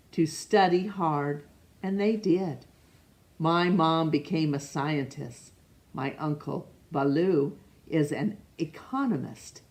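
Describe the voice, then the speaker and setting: A woman reading a picture book aloud to children, stopping to ask the listener questions and now and then rereading a name. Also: low voice. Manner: slow, gentle, asks the listener questions; stumbles over names and rereads them. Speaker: storytime reader.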